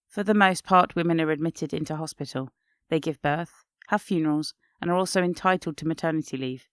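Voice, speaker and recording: A woman's voice: low voice, dry recording, clean studio sound. A woman hosting a podcast, narrating in a reflective, scripted style. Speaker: podcast host.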